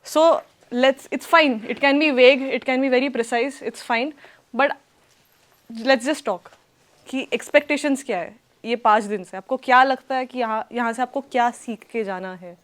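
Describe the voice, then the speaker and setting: An Indian-accented woman leads a workshop class. Her voice is high, and she talks interactively, mixing two languages as she goes. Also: slow pace. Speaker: workshop teacher. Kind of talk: interactive teaching, mixing Hindi and English.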